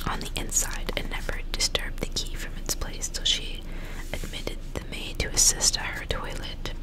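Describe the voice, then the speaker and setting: A woman speaking in a soft, breathy, unvoiced whisper close to the microphone, in a slow and deliberate ASMR style. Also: low-quality recording. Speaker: ASMR host reading a novel aloud. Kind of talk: whispered ASMR, chat and audiobook-style reading.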